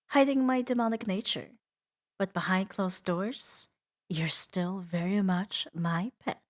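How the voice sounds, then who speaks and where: A woman voicing a seductive, possessive female demon in an intimate ASMR roleplay, teasing and soothing with soft dominance. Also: mid-range voice, lightly acted. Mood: teasing, businesslike. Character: seductive, possessive female demon doting on her new pet.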